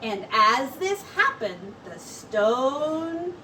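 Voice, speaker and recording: A woman teacher reading a storybook aloud to children in an expressive, deliberate way with drawn-out words. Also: high-pitched. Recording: distant mic with clear background noise.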